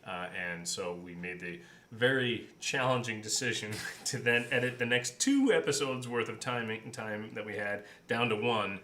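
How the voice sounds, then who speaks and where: A man's voice: fairly low pitch, moderate pace, bright, forceful tone. A man in a casual behind-the-scenes chat as a podcast co-host. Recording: roomy echo, clear background noise.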